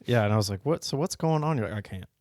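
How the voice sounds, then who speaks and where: A man's voice: lowish voice, animated, very fast, darkish tone, dry recording, clean studio sound. A man hosting a casual podcast chat who laughs often and swears offhandedly. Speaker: podcast host.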